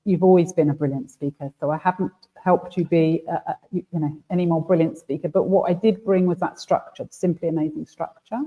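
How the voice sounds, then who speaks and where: A woman's voice: fairly low pitch, fairly even intonation, fairly quick, few pauses. A woman hosting a casual interview, chatty and full of fillers, heard over a video call. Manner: chatty, warm, many fillers and restarts. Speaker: host and trainer. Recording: tinny phone-like line.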